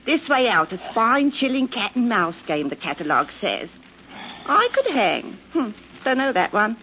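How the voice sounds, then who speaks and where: Lively, needling London housewife. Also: female voice, fairly high pitch, moderate pace. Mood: playful. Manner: chatty, needling, colloquial. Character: slovenly, nagging London housewife, teasing and saucy. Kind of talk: 1940s radio acting. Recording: dull, noisy 1940s radio recording.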